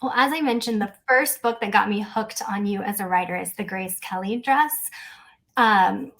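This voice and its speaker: A woman's voice: medium pitch, normal speed, fairly bright tone. A woman hosting a podcast interview, asking prepared questions in a conversational way.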